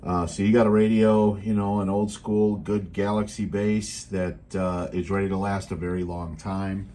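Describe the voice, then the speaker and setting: Casting: American male product demonstrator, low and relaxed, halting and matter-of-fact, unscripted, slightly noisy handheld recording. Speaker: seller demonstrating a restored CB radio. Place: indoors at a radio bench. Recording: noisy room, handheld camera mic.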